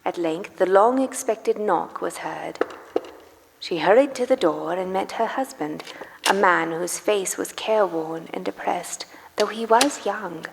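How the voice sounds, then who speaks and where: A woman narrating a dramatic story live in a reverberant church, with grave, deliberate phrasing. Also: mid-range voice, gravelly, slight background noise. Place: live performance in a large church. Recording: reverberant church hall, music behind. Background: music.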